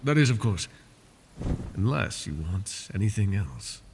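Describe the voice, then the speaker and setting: A man voicing a wounded male dragon who keeps a human captive, soft-spoken and polite, often slipping into whispers, for an ASMR fantasy roleplay. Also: lowish voice, sing-song, a little slow, background noise. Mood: tender. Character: wounded male dragon, possessive yet doting captor.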